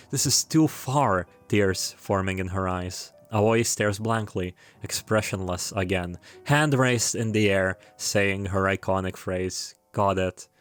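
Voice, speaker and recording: A man narrating a scripted plot recap of a story, with casual, informal asides. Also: fairly low pitch. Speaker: video creator narrating a game retrospective.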